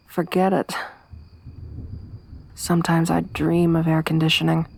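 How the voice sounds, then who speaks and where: A young woman voicing a tough, tomboyish survivor companion in an ASMR roleplay, speaking softly with a wry, weary warmth. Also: low-pitched, flat delivery, takes it slowly, creaky, a little hiss or hum, heavily acted. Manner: soft-spoken, wry, quietly reflective.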